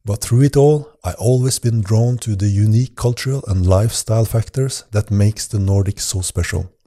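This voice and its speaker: A man delivers a scripted podcast monologue as its host. His voice sits low and sounds mellow and relaxed. He speaks in an earnest, measured way. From Norway.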